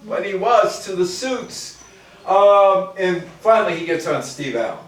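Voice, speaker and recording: Older man, American accent, slightly high voice, informal lecture delivery, very echoey room. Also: lively intonation, unhurried. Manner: informal, addresses listeners directly with short asides. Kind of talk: public talk on music history, reading quotes and commenting. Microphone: distant microphone. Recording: very echoey room, distant microphone.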